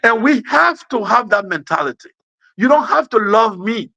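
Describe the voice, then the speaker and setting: A man's voice: high-pitched, big pitch swings, moderate pace, gravelly, pushed and bright, no echo. A man with a West African accent gives an impassioned political speech, emphatic and repeating phrases for effect. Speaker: speaker giving an address.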